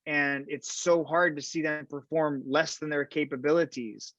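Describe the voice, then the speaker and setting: A man, a fight commentator, chatting in an interview and telling lively stories, tagging his sentences with "right?". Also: on the high side, normal speed, no echo. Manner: animated storyteller, fillers like "right?". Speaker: podcast guest, fight commentator. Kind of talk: casual interview chat. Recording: dull lo-fi sound.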